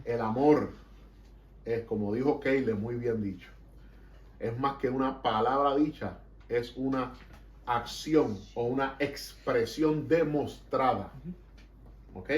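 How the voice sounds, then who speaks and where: A man's voice: mid-range voice, muffled recording. A man with a Puerto Rican accent preaches with fervor, driving home each point and slipping into English phrases. His voice sits mid-range and carries through an echoey room. The mic picks him up from some distance. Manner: fervent, emphatic preaching that slips between Spanish and English. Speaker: pastor. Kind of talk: preaching and praying to a congregation.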